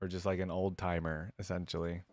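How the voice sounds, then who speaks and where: A man's voice: deep voice, little pitch movement, fairly quick, soft background music. A man hosting a reaction video in casual commentary, often repeating words and quoting back what was said.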